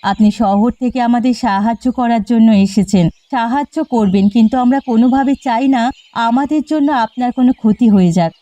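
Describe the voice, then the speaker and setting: A woman's voice: a little high, normal speed, dark tone, dry recording, close to an everyday voice. A woman voicing a humble, worried village woman in a cartoon, speaking earnestly. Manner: earnest, pleading. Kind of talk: cartoon voice acting.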